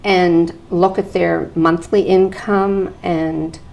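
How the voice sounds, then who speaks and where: A woman hosting a television interview show, slightly hoarse from a cold, asking conversational questions and acting out a caller's worries. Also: fairly low pitch, little pitch movement, slow, darkish tone, low-quality recording. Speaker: television talk show host. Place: television studio.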